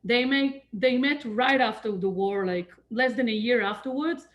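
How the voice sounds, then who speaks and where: A woman from Israel with an Israeli accent, giving an online talk as a visiting speaker, pausing often with fillers and restarts over a video call. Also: fairly high pitch, highs cut off. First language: Hebrew. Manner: hesitant, many fillers and restarts. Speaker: guest speaker, nonprofit emissary.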